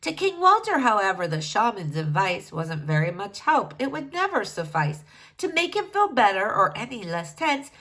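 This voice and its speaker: Animated woman reading a rhyming storybook. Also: medium pitch, moderate pace. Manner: theatrical, sing-song emphasis on rhymes. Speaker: storybook reader. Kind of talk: rhyming children's story read aloud, expressive.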